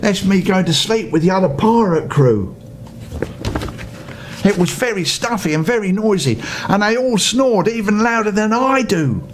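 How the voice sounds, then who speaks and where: A man's voice: animated, moderate pace. An older man reading a children's story theatrically, with playful asides to the listener. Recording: noisy home recording with rumble.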